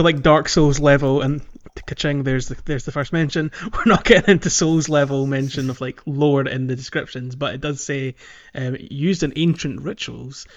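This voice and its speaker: A man's voice: fairly high pitch, lo-fi sound. A man hosting a podcast, chatting casually about a video game. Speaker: podcast host.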